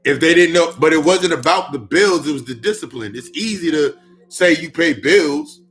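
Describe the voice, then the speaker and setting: Thirties guy, kinda high bright voice, cussing and repeating himself, faint music behind. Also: moderate pace. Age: 30s. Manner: profane, repetitive, emphatic. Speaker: spiritual speaker. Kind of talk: casual spiritual monologue.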